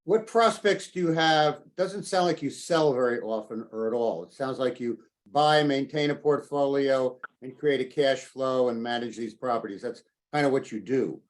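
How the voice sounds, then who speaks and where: Man, average pitch, informal caller, business conference call questions. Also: normal speed, hardly any pauses.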